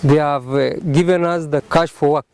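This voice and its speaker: A man offers an unscripted interview testimonial. His voice is slightly high, and he speaks haltingly, searching for words. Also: fairly even intonation, a little mellow, clean tone. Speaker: project beneficiary giving testimony.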